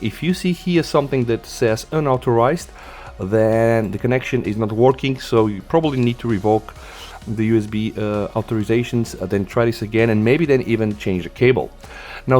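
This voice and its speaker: A man hosts a casual tech tutorial in a mid-pitched voice. He guides the listener step by step in an instructional manner, putting stress on the important points. Music plays faintly behind him. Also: a little mellow. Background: background music.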